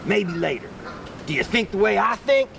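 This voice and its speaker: Man as a storybook tiger, male, smooth-talking, coaxing, self-assured, lively pitch, gravelly edge, slightly off-mic. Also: normal speed, heavily acted. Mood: smooth, controlling. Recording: distant mic, rumbly background noise.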